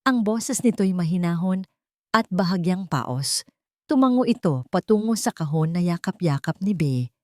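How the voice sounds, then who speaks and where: Female storyteller, lowish register, scripted romantic drama narration, dry studio-like sound. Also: animated, normal speed, warm tone, no echo.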